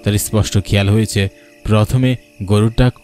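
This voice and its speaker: A man's voice: on the low side, fairly even intonation, normal speed, darkish tone. A man narrating a scripted horror cartoon story. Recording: faint background music. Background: faint music.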